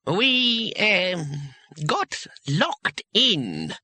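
A man voicing a pompous, haughty old male wizard schoolmaster in an audiobook, speaking slowly and deliberately. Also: high voice, sing-song, sharp tone, dry recording, pitched above the performer's own voice, full character voice. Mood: embarrassed, reluctant. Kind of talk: audiobook character voice.

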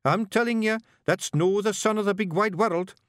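A man's voice: high voice, normal speed, fairly bright tone, no echo, clean studio sound, voice pushed up. A man voicing a young, nervous male pictsie apprentice bard with a Scottish accent, earnest and anxious.

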